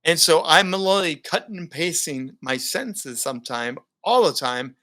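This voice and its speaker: Bright-voiced animated man telling stories. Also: on the high side, normal speed. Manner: animated storyteller, laughs often. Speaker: guest, broadcaster and keynote speaker. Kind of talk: casual interview conversation.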